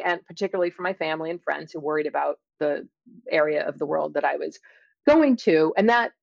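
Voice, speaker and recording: A middle-aged woman speaking as an interview guest, explaining and telling her experiences in a thoughtful, halting way with drawn-out emphasis. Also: low voice, vocal fry, dry recording, muffled recording. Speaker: interview guest, scientist and author. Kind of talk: conversational interview, personal storytelling and explanation.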